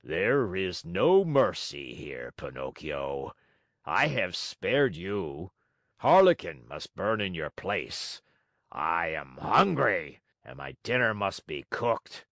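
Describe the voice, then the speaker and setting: A man voicing a huge, gruff, bearded puppet master with a hoarse voice, blustering but soft-hearted, in a storybook reading. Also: deep voice, very animated, slow, no echo, studio quality, exaggerated voice. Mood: stern, commanding.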